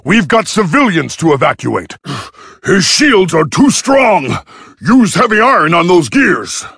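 An actor playing a gruff robot medic soldier in a video game, with a raspy voice and a dry, urgent delivery. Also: male voice, high voice, very animated, slow pace, fairly bright tone, no room sound, exaggerated voice. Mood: focused, commanding. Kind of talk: scripted video game battle dialogue.

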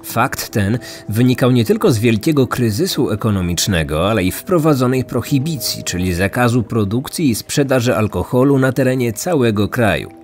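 A man narrates a true-crime podcast from a script. His voice sits at an average pitch, with music playing underneath. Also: lively intonation, normal speed, hardly any pauses. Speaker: podcast host. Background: background music.

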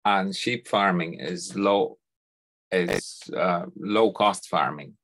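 A man's voice: low voice, a bit bright, rough recording. A middle-aged man from the Netherlands with a Dutch accent, speaking thoughtfully as an interview guest over a video call from a home study. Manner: thoughtful, unhurried, repeats words as he starts sentences. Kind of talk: conversational interview. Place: home study lined with bookshelves.

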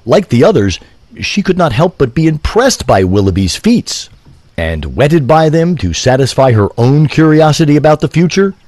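A man reading a scripted story in a literary, deliberate storytelling manner. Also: fairly high pitch, big pitch swings, a little mellow, no echo.

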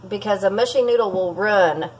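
A woman's voice: mid-range voice, normal speed, darkish tone, a little hiss or hum, poor audio. A woman, chatting casually and rambling through a cooking vlog in her home kitchen, recorded by a camera close by. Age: 40s. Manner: chatty, rambling, laughs often. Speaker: vlogger. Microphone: fixed camera at close range.

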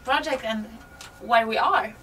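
A woman's voice: a little high, measured pace, bright, forceful tone, distant mic, faint music behind, poor audio. A woman interviewer putting short, casual questions to guests. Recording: off-mic voice, clear background noise.

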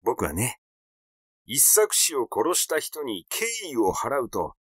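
A man's voice: mid-range voice, big pitch swings, takes it slowly, fairly bright tone, breathy edge, no room sound, studio quality, full character voice. A man voicing a blunt, teasing amateur sleuth in an audiobook, talking in a casual, insinuating and mocking way. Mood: coaxing, mocking. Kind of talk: audiobook character dialogue.

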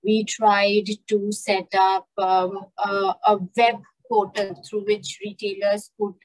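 Indian woman on a webcam panel, steady mid voice, lots of you knows. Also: medium pitch, slow pace, poor audio. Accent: Indian English. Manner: fluent, with "you know" fillers. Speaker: panelist, company IT leader. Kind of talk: video-call panel discussion. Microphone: webcam on a video call.

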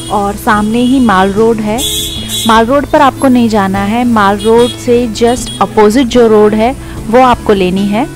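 A young woman, a travel vlogger, giving a chatty hotel tour voice-over and switching between Hindi and English phrases. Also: a little high.

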